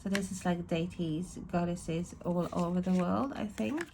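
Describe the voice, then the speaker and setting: A woman from Ireland with a Swedish-tinged accent, chatting casually in a slow, thoughtful, meandering way as she reviews tarot and oracle decks at home. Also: on the low side, fairly even intonation, gravelly, a little mellow, slight background noise, rough recording. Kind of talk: casual deck review chat.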